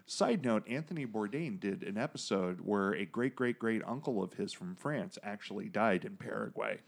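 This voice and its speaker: Male podcast co-host, low voice, loose and chatty, prone to tangents and crude jokes. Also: expressive, moderate pace, creaky. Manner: rambling, digressive, many fillers and restarts; jokey, profane asides. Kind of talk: casual podcast chat about comics.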